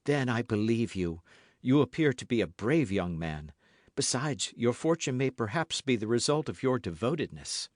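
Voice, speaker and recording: A man reading an audiobook as an anxious, guarded young gentlewoman who speaks in a formal, fretful, pleading way. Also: mid-range voice, very animated, moderate pace, no room sound, clean studio sound, close to an everyday voice. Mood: wary, trusting.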